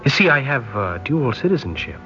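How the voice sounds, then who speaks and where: An actor playing a polite, hesitant, earnest young sailor of nineteen in a 1960s radio drama. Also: male voice, mid-range voice, sing-song, fairly quick, noisy background, close to an everyday voice. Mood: eager, wistful. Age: young adult. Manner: courteous, hesitant, genteel. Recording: dull lo-fi vintage radio recording.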